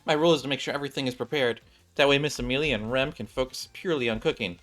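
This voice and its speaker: A man's voice: mid-range voice, rapid-fire, fairly bright tone, faint music behind, natural voice. A man voicing a confident, haughty young female maid cook with a matter-of-fact, dismissive manner in a game story. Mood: focused.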